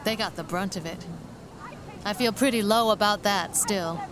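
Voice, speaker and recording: A woman's voice: mid-range voice, normal speed, noisy background, natural voice. An actress playing a candid, probing, regretful woman in a period audio drama, speaking in a frank, reflective way. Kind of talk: period radio drama acting.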